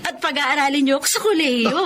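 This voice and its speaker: A woman's voice: high-pitched, fast talker, a bit bright, a little hiss or hum. A woman playing a sweet, eager young student daughter, full of gratitude, in a Filipino radio drama with a Filipino accent. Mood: cheerful, respectful.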